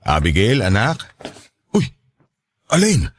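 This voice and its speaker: A man with a Filipino accent playing a placating, gentle father in a radio drama, somewhat hesitant in manner. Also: fairly low pitch, huge pitch swings, slow, breathy edge, no room sound, clean studio sound, exaggerated voice. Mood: surprised. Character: returned father, placating, gentle with his young daughter.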